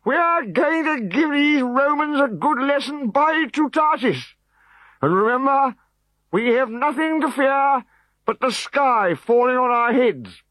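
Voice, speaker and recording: A man voicing a bossy, excitable Gaulish village chief who blusters and barks orders. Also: extremely high pitch, unhurried, dry recording, voice pushed up, full character voice, poor audio. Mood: rousing.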